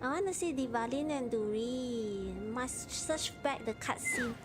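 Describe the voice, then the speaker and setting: A woman with a Malaysian accent chatting casually and gigglily as she livestreams a game. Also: fairly high pitch. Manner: giggly, clipped unhurried phrases. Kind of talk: casual chat while playing. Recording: game music behind voice.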